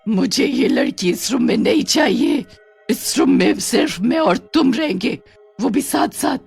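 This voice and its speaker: A woman acts out a possessive, menacing young ghost witch from a cartoon horror tale. She draws her words out slowly and tauntingly. Music plays underneath. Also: on the high side, lively intonation, gravelly, a little mellow, slightly rough, pitched above the performer's own voice, full character voice. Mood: demanding. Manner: slow, drawn-out, taunting with gloating laughs. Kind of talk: Hindi cartoon horror story acting.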